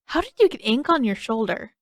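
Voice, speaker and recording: A woman voicing an eager, energetic young boy full of exclamations in an audiobook. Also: on the high side, a little fast, dry recording, studio quality. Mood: curious, surprised. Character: excitable, energetic young boy, soaking wet. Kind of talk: audiobook character voice.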